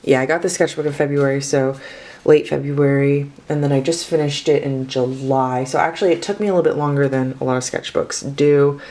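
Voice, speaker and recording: A young woman in her twenties talks in a low voice, with the slightly off sound of someone who is sick. She rambles and corrects herself as she pages through her sketchbook for a casual video. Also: fairly even intonation, fairly quick, warm tone. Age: 20s. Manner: chatty, rambling, self-correcting. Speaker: artist vlogger.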